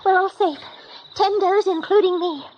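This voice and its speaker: A woman's voice: very high-pitched, moderate pace. A woman playing an anxious, timid young female rabbit in a radio drama. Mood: anxious, weary. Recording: dull radio recording, faint noise.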